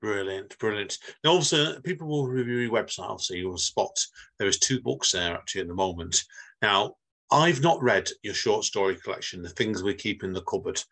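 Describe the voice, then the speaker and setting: Chatty, rambling husky-voiced male host. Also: fairly low pitch, expressive, a little fast, fairly bright tone. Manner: chatty and rambling, laughs at himself. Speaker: podcast host. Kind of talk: casual interview chat.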